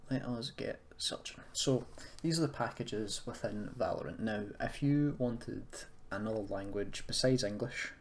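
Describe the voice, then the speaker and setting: Low-voiced man rambling through a tutorial. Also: unhurried, few pauses. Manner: rambling, thinking aloud, self-deprecating. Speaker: tutorial host. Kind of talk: casual screen-recorded software tutorial. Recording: clearly noisy, keyboard clicks and typing. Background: background noise, computer keyboard and mouse clicks.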